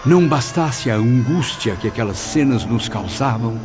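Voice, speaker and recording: A man's voice: medium pitch, lively intonation, normal speed, a crowd in the background. A man with a Brazilian accent reading a dramatic story in a solemn, theatrical manner. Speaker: audiobook narrator. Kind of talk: dramatic scripted narration of a spiritist novel. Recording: dull lo-fi audio with music behind.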